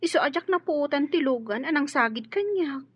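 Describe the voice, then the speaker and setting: A woman's voice: high-pitched, expressive, brisk, no room sound. A woman playing a timid, well-mannered young maid with a painful home life in an Ilocano radio drama. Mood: sad, confiding. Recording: dull radio drama recording.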